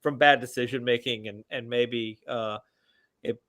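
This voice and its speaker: A man's voice: mid-range voice, fairly even intonation, unhurried, a bit bright, no echo. A man in his forties co-hosting a casual podcast, crude and joking as he rambles through his segment. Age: 40s. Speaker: podcast co-host. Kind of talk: casual banter.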